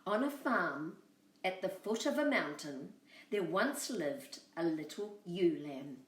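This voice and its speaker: A woman telling a storybook tale to children, expressive and speaking directly to her young listeners. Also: on the low side, slow pace, pauses often. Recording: roomy echo, far from mic.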